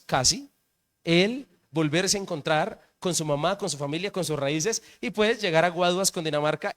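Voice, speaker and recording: A man's voice: sits high, a bit bright. A man, a pastor preaching at a church service, telling a personal story in a conversational way and often asking the congregation questions.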